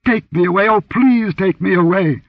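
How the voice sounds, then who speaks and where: A man's voice: sits high, moderate pace, no room sound, distant mic, phone-quality sound, voice pushed up. A man voicing a terrified young woman who gasps and stammers her pleas, in an audiobook reading. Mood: frightened. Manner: gasping, stammering, pleading.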